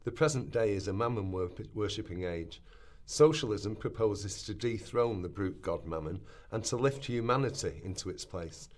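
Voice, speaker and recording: An earnest socialist politician of the early 1900s , played by a man, addresses Parliament in a radio play. The voice is on the low side, and the delivery is formal and declamatory. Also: expressive, normal speed, natural voice. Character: earnest early twentieth-century socialist parliamentarian making a speech.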